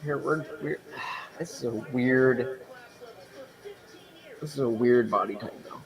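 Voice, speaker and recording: A man's voice: medium pitch, takes it slowly, gravelly, low-quality recording. A young man co-hosting a podcast, chatting casually, rambling with restarts and frequent laughter. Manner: rambling, self-interrupting, laughs often. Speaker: podcast co-host. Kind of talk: casual banter.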